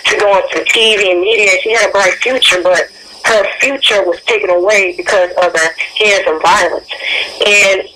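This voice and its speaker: A young woman speaks as an earnest interview guest in a bright voice with little melodic movement. She leans on repeated phrases to make her points, over faint background noise. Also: mid-range voice, steady tone, moderate pace, poor audio. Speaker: interview guest, youth activist. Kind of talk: earnest radio interview answers.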